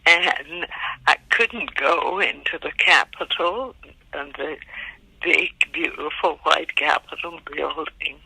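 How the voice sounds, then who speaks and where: An older woman in her eighties, from Montgomery, Alabama, tells her story unhurried, pausing often. Her voice is gravelly and husky yet bright. The sound is dry and close. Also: low voice, dry recording, low-quality recording. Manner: slow and reflective, soft-spoken with pauses. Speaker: interviewee, great-aunt. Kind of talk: recorded family oral history.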